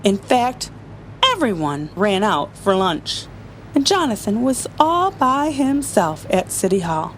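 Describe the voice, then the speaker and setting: A woman reading a children's story aloud to a group, with expressive, emphatic delivery. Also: medium pitch, takes it slowly, a little hiss or hum.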